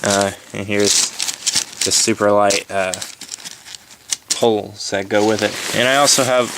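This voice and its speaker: A man giving a casual, unscripted walkthrough in a halting, informal way, as if thinking aloud, indoors on a handheld camera. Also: on the low side, fairly even intonation. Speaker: hiker presenting his gear. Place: indoors in a room at home. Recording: background hiss, handling clicks and crinkling.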